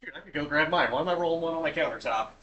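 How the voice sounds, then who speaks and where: A man's voice: on the high side, very fast, highs cut off, a crowd in the background. A man streaming himself cooking at home, chatting casually and easily as he goes. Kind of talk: casual chat while cooking. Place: home kitchen.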